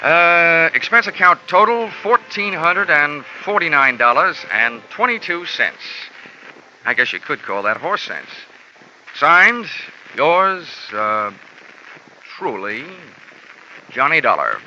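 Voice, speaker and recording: A man's voice: fairly high pitch, very animated, slow, bright, forceful tone, a little hiss or hum, heavily acted. An actor playing a wry, hard-boiled male insurance investigator who narrates in dry, wisecracking first person, in 1950s radio drama style. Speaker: narrating detective lead. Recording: dull old radio recording with music.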